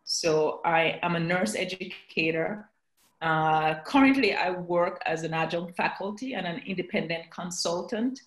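A woman's voice: lowish voice, a little slow. A middle-aged woman, introducing herself as a panel guest. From Jamaica. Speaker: panel guest, nurse educator. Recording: echoey room on online call.

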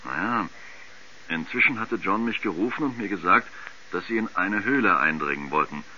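An actor playing an irreverent mission radio operator, answering bluntly and colloquially in an old radio play. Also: male voice, low-pitched, animated, normal speed, bright, forceful tone, heavily acted. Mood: blunt, matter-of-fact. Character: plain-spoken, irreverent male mission radio operator being interviewed. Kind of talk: 1970s German radio drama acting. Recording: dull vintage radio recording, faint background noise.

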